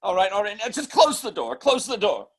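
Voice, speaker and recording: High-pitched, halting theatrical mind reader played by a man. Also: very animated, gravelly, noisy background, full character voice. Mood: urgent, pleading. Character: agitated nightclub mind reader, showy on stage, urgent offstage. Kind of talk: old-time radio drama acting.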